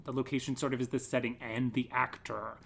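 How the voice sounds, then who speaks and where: Male interview guest, medium pitch, conversational and enthusiastic explainer. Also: flat delivery, fairly quick, vocal fry, fairly bright tone, husky. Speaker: podcast guest, theatre creator. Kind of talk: casual interview chat.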